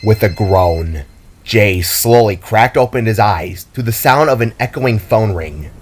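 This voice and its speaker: A man's voice: fairly low pitch, expressive, unhurried, slightly rough. A man narrating a scripted fantasy story in a dramatic storytelling style.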